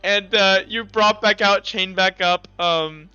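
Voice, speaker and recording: A man's voice: sits high, fairly even intonation, slow, sharp tone, clear, resonant tone, dry recording, muffled recording. A man running a tabletop game as game master, narrating off the cuff in a casual, joking, sweary way.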